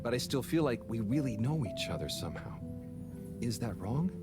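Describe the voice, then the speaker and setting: A man's voice: medium pitch, sing-song, close to an everyday voice. A man voicing a shy, kind young man in a video game, speaking hesitantly. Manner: hesitant, halting. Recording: game audio with loud music.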